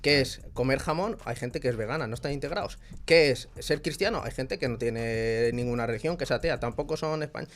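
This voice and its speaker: A man's voice: medium pitch, a little fast, few pauses. A young man from Spain, speaking as a guest in a casual discussion. He tells stories with animation and acts out what people say. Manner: animated storytelling with laughs and acted-out quotes.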